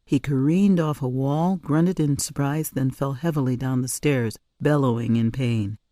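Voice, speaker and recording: A woman narrating a story in an expressive audiobook reading style. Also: very deep voice, a little slow, dry recording, clean studio sound. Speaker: author or reader narrating a story.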